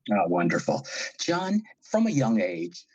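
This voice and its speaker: A man hosting an education podcast, asking formal, polite questions in a measured way. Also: lowish voice, wildly swooping pitch, a little mellow, husky, no room sound. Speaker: podcast host. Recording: dull lo-fi sound.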